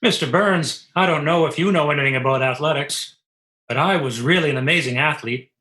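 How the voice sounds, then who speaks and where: A man's voice: a little high, a little fast, sharp tone. A man playing a breezy, smugly boastful former athlete and old boyfriend in a 1940s-style radio comedy.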